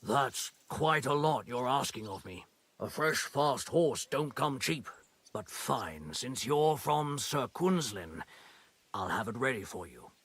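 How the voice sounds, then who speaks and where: A gruff rustic horse keeper from a medieval video game. His voice is husky and mid-pitched, and he speaks plainly and grudgingly, wary of whoever he deals with. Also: big pitch swings, slow pace, a bit bright, no room sound, exaggerated voice. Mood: guarded, practical. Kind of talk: video game dialogue acting.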